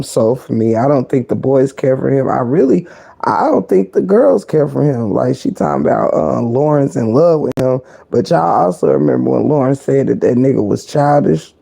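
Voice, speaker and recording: Woman live-streamer, deep and croaky, very dark tone, blunt sassy commentary delivered quietly. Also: animated, normal speed. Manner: sassy and blunt, heavy cursing; talking low to keep quiet.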